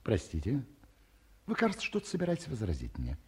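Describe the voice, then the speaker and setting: A very husky middle-aged gentleman scholar in a 1950s Soviet radio drama. He is courtly and pleased with himself, with ornate, hesitant phrasing and a voice that swings expressively in pitch. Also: wildly swooping pitch, brisk, full character voice. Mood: earnest, nostalgic. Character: courtly, self-satisfied middle-aged male academic, wistfully polite. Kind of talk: mid-century Soviet radio play acting. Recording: muffled-voiced 1950s radio studio recording.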